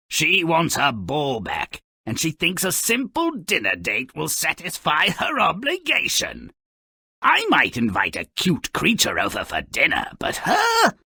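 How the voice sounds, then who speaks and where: An actor voicing a cynical, gleefully wicked little gremlin who narrates fairy tales in a video game, with a gravelly rasp and a sarcastic, theatrical drawl. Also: male voice, medium pitch, bright, forceful tone, no echo, studio quality, exaggerated voice. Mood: scornful. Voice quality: raspy, gravelly.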